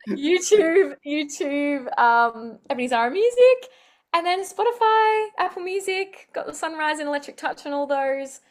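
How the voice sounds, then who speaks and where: Young Aussie woman, slightly high voice, upbeat and rambling, heard over a video call. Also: animated, normal speed. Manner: chatty, upbeat, many fillers. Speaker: singer-songwriter guest. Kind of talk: casual interview chat.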